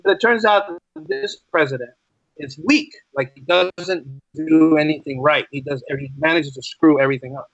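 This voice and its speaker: A man's voice: fairly high pitch, normal speed, dry recording, muffled recording. A man in a casual interview, explaining his thoughts as a writer and guest, often repeating phrases for emphasis. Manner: animated explaining, repeats phrases, acts out imagined quotes.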